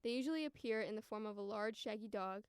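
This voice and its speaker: Podcast guest, female, about 20, American. Crisp, dry close sound, chatty and informal delivery. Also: medium pitch, fast talker, no echo. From United States. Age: around 20. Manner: informal, frequent "like" and "you know". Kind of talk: casual conversational chat and storytelling.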